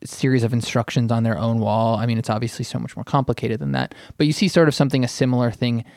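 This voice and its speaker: Male panelist, medium pitch, opinionated, emphatic, casual podcast talk, dry close sound. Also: steady tone, fast talker, gravelly, a little mellow, no echo. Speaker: podcast panelist, art critic.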